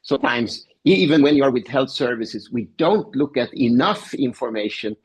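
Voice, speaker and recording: A man's voice: mid-range voice, expressive, moderate pace, slightly rough. A man speaking as a guest professor in a conversational interview, explaining his points with personal examples.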